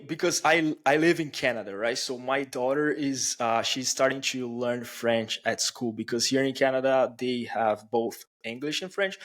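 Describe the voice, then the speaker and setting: A man's voice: medium pitch, a bit bright. A man hosting a casual podcast interview, upbeat and chatty with his listeners. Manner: upbeat, addresses listeners as guys. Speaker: podcast host. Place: home in Canada.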